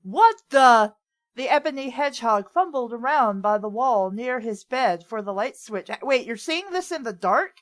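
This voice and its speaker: A woman's voice: on the high side, lively intonation, normal speed. A woman in casual, incredulous banter while reading a story aloud. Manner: incredulous, swearing, breaks into laughs. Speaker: host of a comedy reading show. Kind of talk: casual chat and read-aloud commentary.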